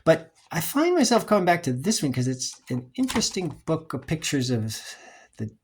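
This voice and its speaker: A collector giving a casual, unscripted review in a hesitant, rambling way, often trailing off and clearing the throat, with a husky, creaky voice. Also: medium pitch, sing-song, normal speed, a little hiss or hum. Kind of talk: casual unscripted show-and-tell.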